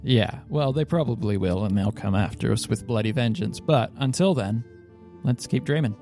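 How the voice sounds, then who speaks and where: A man playing a gruff, world-weary dreamer in tabletop role-play. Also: medium pitch, moderate pace, lightly acted. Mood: weary resolve. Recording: loud music bed behind voice.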